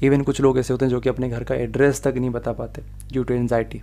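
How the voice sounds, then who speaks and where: Male law tutor, Pakistani Urdu accent, flat, dark, mellow delivery; lecture over slides. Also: mid-range voice, fairly quick. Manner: mixes Urdu-inflected Hindi with English legal terms, steady explaining.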